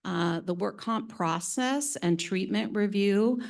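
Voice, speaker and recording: A woman's voice: medium pitch, takes it slowly, vocal fry. A woman giving a friendly, chatty presentation at a board meeting, laughing easily. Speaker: presenter from claims team. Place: meeting room with conference mic.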